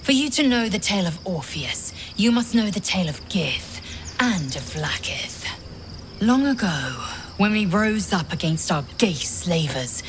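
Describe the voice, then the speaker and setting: A woman playing a fervent, stern alien warrior in a video game, speaking in solemn, clipped declarations. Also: fairly low pitch. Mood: solemn, intense. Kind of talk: dramatic game dialogue.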